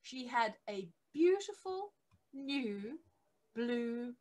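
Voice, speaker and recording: Woman, middle-aged, slightly high pitch, scripted book narration, measured delivery, stressed key phrases. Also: big pitch swings, a little mellow. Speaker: reader of a church history book. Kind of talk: scripted narration, reading aloud from a biography. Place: attic home office, indoors.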